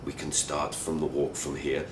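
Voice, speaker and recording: A mischievous male translator, put on by a man reading aloud, emphatic and fond of teasing argument. The voice sounds roomy and set back from the mic, with faint rumble. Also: monotone, normal speed. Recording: distant roomy live recording, noisy rumble.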